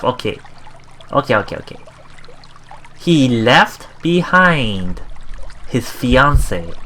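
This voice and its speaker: A man hosting a let's play, reading game text aloud and adding halting, musing casual commentary, with water sounds behind him. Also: mid-range voice, sharp tone, a little hiss or hum.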